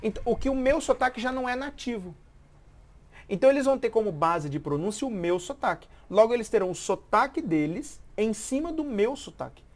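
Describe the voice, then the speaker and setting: Woman, language teacher, casual live chat, lively intonation, repeats and checks understanding. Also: a little high, a little fast.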